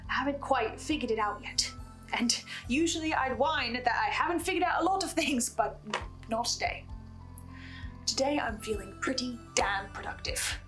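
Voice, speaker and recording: A woman delivering a scripted, dramatic video log monologue with ominous pauses for effect. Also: fairly high pitch, a bit bright. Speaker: host of a listener's video log. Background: music behind the voice.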